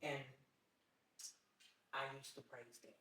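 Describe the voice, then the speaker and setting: A man's voice: mid-range voice, little pitch movement, pushed and bright, a little hiss or hum. A man who is a guest on a podcast, chatting casually and agreeably. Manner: agreeable, quick affirmations. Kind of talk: casual chat. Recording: echoey room, mic at a distance.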